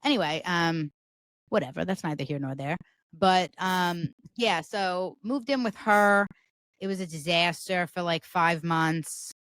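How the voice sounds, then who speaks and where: A woman with a deep voice, guesting on a podcast and talking in a loose, rambling way full of "like". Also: a little slow, fairly bright tone, no echo. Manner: rambling, heavy use of "like" fillers. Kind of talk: casual chat.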